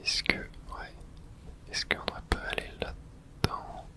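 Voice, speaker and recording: Someone whispering throughout an ASMR let's play, narrating the game and reading its texts aloud in a hush. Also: unhurried. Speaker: host playing and narrating a game.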